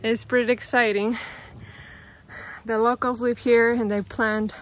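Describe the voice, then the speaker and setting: A woman's voice: on the high side, flat delivery. Chatty woman vlogger from Peru. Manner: chatty, pauses with fillers. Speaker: travel vlogger. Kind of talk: casual travel vlog narration. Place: outdoors on a farm. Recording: music playing underneath.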